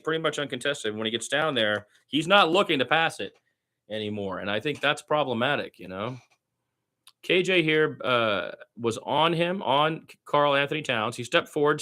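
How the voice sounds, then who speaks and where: A man's voice: fairly high pitch, sharp tone. A man doing amateur radio-style basketball play-by-play in short clipped phrases, with opinionated asides as a partisan fan.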